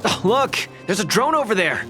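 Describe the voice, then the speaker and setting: Eager young brawler in a game: fairly high, lively, casual, confident, music behind. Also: male voice, normal speed. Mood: upbeat, playful. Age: young adult. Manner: brash, friendly, casual. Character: upbeat, confident young muscular fighter, eager to help. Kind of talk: scripted game voice acting.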